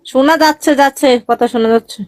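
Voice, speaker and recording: A woman's voice: sits high, fast talker, no room sound, clean studio sound. A young woman chatting casually and insistently on a livestreamed video call.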